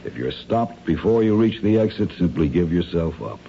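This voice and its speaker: An actor playing a calculating crime schemer in a radio mystery, measured, formal and condescending, with cool menace. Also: male voice, low voice, very animated, creaky, dark tone, full character voice. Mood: quietly threatening. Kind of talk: radio mystery drama acting. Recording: dull, hissy old radio recording.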